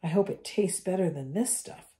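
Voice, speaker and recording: A woman's voice: fairly low pitch, normal speed, warm tone, lightly acted. A woman voicing an injured twelve-year-old boy who speaks sharply and earnestly. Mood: weary.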